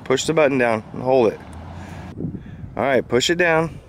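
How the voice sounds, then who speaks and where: A mid-pitched father from Southern Illinois, talking his daughter through each step with steady patience. His voice comes through a camera microphone, with faint noise behind it. The delivery is casual and homely, as in a family home video. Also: moderate pace. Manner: patient, step-by-step coaching of a child. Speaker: father filming a toy review.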